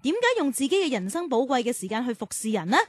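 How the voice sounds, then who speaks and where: A woman playing a patient class teacher, gently chiding and explaining things in a children's radio drama. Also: a little high, very animated, fast talker, breathy edge, no echo. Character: patient young woman class teacher guiding cartoon calves.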